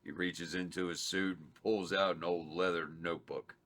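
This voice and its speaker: A man reading the stage directions at a script table read, in a plain, unhurried way with slight stumbles. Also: sits low, steady tone, a bit bright, a little hiss or hum.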